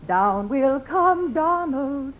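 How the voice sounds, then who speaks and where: Doting radio-comedy mother: high, soothing, drawn-out delivery on a muffled vintage recording. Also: expressive, faint music behind, full character voice. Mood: lulling. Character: doting mother soothing her huge baby son. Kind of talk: 1950s radio comedy acting. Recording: dull 1950s radio recording, noisy, audience.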